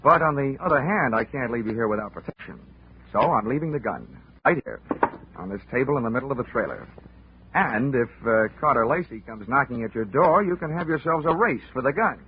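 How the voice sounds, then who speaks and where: A man's voice: medium pitch, a little fast, noisy background, lightly acted. Actor as a wry hard-boiled radio detective man, muffled. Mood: wry, commanding. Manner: wry, deadpan, commanding. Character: wisecracking, unflappable male insurance investigator. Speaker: detective lead and narrator. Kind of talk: old-time radio detective acting, hard-boiled narration. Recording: muffled vintage radio broadcast, music.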